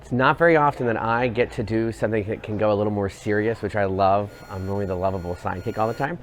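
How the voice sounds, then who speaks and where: A man's voice: fairly low pitch, fairly even intonation, quick pace. An American man, a musical theatre actor, talking in a cast interview.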